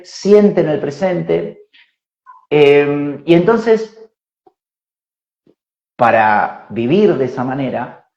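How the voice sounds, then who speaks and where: A man in his forties, a spiritual teacher, explains ideas slowly and reflectively, thinking aloud with fillers and tag questions. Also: fairly high pitch, a little mellow. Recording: roomy, dull lo-fi sound.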